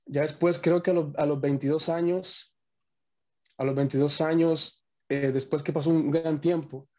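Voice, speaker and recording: A man's voice: on the high side, steady tone, moderate pace, dark tone, highs cut off. A young man from the Dominican Republic, a worship musician, talking earnestly over a video call and often restarting his sentences. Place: small home room.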